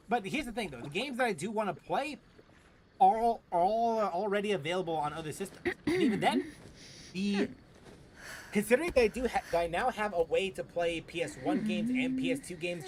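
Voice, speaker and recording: A young man streaming games, chatting casually in a rambling, halting way and repeating phrases for emphasis. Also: sits high, lively intonation, a little hiss or hum. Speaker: gaming streamer. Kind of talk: casual, rambling gameplay chat. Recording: game music behind.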